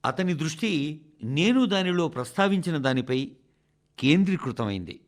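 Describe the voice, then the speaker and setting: Expressive, high-pitched man reading an address. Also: moderate pace. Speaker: radio reader of a translated national address. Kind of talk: scripted broadcast narration, oratorical address.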